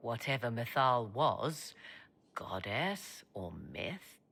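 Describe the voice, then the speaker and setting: A woman's voice: rumbling low, sing-song, slow, a bit bright, full character voice. A woman playing a proud, sardonic sorceress adviser in a video game, with a haughty, theatrical and cutting delivery. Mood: cool, grave. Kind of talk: dramatic video game acting.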